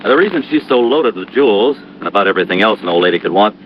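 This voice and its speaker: Man playing an earnest, fast-talking American insurance manager. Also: on the low side, lively intonation, lightly acted. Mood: explaining. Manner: earnest, explanatory, conversational. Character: earnest, trusting, mildly anxious male insurance office manager. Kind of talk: 1950s radio drama acting. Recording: dull, noisy old radio recording.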